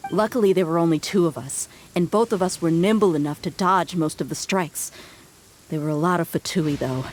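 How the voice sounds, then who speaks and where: Woman acting a low-voiced, tough, easygoing mercenary. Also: a little mellow, slight background noise, natural voice. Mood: firm, urgent. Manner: casual, assured, frank. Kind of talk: video game voice acting. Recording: faint game ambience behind.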